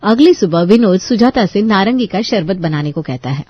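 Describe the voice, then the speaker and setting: A woman's voice: medium pitch, expressive, moderate pace, husky, no echo, highs cut off. A woman narrating a scripted bedtime story as a storyteller.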